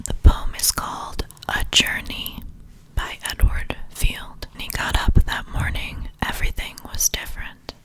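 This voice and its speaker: A woman speaking in an unvoiced, breathy whisper in an ASMR style, gently unhurried and deliberate. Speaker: ASMR creator and poetry reader.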